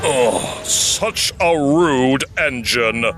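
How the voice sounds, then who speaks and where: A man's voice: medium pitch, extremely slow, over music. A man voicing a haughty, indignant steam engine in a cartoon dub, with a pompous, disdainful manner. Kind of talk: cartoon-style character dub.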